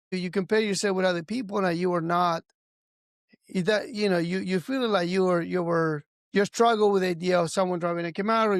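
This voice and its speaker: A middle-aged man from Argentina hosts the interview in a high voice. He stumbles and restarts as he works his way toward each question. The recording is close and dry, with no reverb. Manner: halting, with many restarts and repeated words. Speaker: podcast host. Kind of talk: conversational interview.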